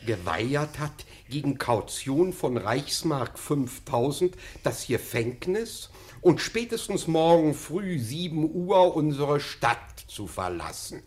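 A man's voice: fairly high pitch, darkish tone. An actor playing an obsequious, flustered official in a radio comedy, stammering and fussy in his deference. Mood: obsequious, amused. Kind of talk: classic German radio comedy acting.

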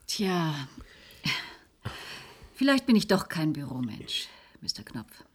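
A woman's voice: sits low, very animated, takes it slowly, heavily acted. An actress playing an adventurous, alert female assistant in a radio play. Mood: composed. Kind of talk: German radio play acting.

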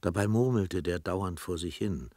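A man's voice: deep voice, normal speed, darkish tone, dry recording. A man giving scripted literary narration as the narrator of a German radio play. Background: music.

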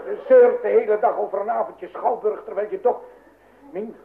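A man's voice: high-pitched, fairly even intonation, normal speed, vocal fry. An actor playing an anxious, blustering middle-aged father in an old radio comedy, stammering and repeating himself in agitation. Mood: fretful. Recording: noisy, dull vintage radio recording.

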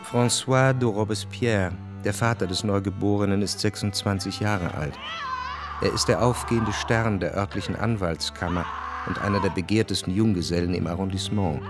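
A man narrating a radio drama in a measured, matter-of-fact literary style. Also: fairly low pitch, close to an everyday voice. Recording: music behind the voice.

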